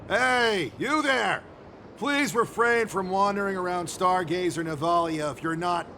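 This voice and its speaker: A man's voice: high voice, gravelly, bright, forceful tone, slight background noise, exaggerated voice. An actor playing a busy, distracted craftsman at a shipyard, in a game cutscene. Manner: brisk, distracted. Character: busy, fussy dockyard craftsman. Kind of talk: video game cutscene voice acting.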